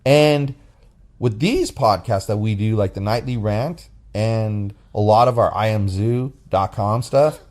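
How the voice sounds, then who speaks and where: A man hosting a casual podcast with his wife, talking in a deep, bassy voice and rambling loosely with restarts and fillers. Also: measured pace, a little mellow. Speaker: podcast host. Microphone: phone app recording.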